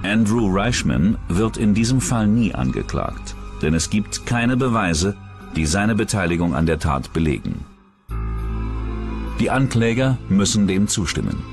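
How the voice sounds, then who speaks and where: Deep-voiced male documentary narrator over music. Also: very animated, measured pace. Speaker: true-crime documentary narrator.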